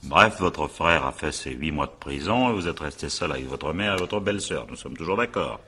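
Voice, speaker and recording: An actor playing a brisk, impatient male magistrate in a French radio drama, with an authoritative, clipped and dryly ironic way of questioning. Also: very deep voice, lively intonation, pushed and bright, husky, exaggerated voice.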